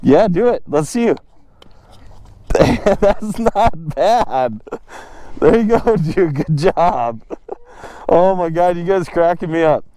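A man's voice: high voice, animated, takes it slowly, pauses often, mellow, dry recording. A young man vlogging from his motorcycle on a residential street, casual and joking, laughing often. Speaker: motovlogger. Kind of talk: casual vlog chat. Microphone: helmet-mounted action camera.

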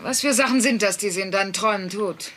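A woman's voice: medium pitch, fast talker, a bit bright, a little hiss or hum, close to an everyday voice. A woman voicing a blunt, teasing, persistent working-class wife in a dubbed sitcom, wry and probing. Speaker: voice actor in a German sitcom dub.

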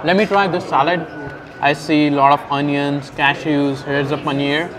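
A man's voice: a little high, normal speed, poor audio. A young man hosting a food vlog, speaking on a lapel mic in a restaurant dining room and describing each dish casually as he tastes it. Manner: relaxed, describes each dish while tasting and chewing. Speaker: food vlogger host. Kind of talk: casual food review narration. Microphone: clip-on lapel mic. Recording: restaurant crowd chatter behind. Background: diners chatting.

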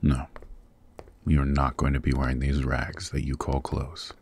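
A man voicing a possessive, protective demon king in a husky, very deep voice, formal and intimate, in an ASMR roleplay. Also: completely flat delivery, normal speed, a little mellow, slight background noise. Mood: commanding, caring. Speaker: voice actor.

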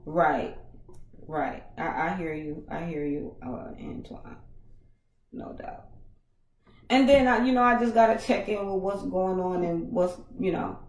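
A woman in her thirties with a naturally raspy voice, hosting a casual livestream podcast from a small home studio on a headset mic, chatting loosely with viewers in slang. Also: on the low side, animated, measured pace, darkish tone, roomy sound, a little hiss or hum, rough recording. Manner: laid-back, slangy, talks to viewers in chat. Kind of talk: casual livestream chat reading comments. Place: small home studio with foam panels, indoors. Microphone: over-ear headset mic.

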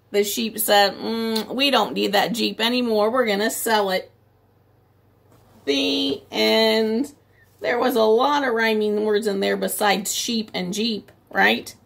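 Casting: middle-aged female preschool teacher for storytime, highish voice with gravelly creak, expressive and explanatory. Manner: expressive, drawn-out story phrases with simple explanatory asides. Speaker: preschool teacher reading aloud. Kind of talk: picture-book read-aloud for young children. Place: small room at home, indoors by a window.

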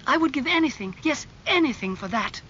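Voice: mid-range voice, measured pace, bright, forceful tone, highs cut off, slight background noise. A narrator playing a vain, anguished young man obsessed with beauty in a dramatised audiobook. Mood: bitter, impassioned.

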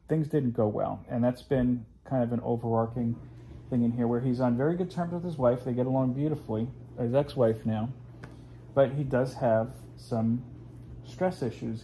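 Guy in his 40s rambling at home, gravelly and mellow, phone mic, faint room hum. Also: mid-range voice, poor audio. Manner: rambling, chatty, lots of fillers. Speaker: host. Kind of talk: casual home video chat. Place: living room at home, indoors.

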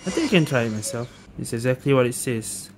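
A woman's voice: a bass voice, lively intonation, fast talker. A woman doing casual gameplay commentary, thinking aloud and repeating herself. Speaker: gaming streamer. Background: game music.

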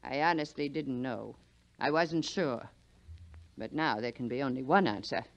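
An actress playing an anxious, genteel society wife with a hesitant, stammering manner in 1940s radio drama style. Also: female voice, extremely low pitch, normal speed, no echo, dull audio. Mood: confiding.

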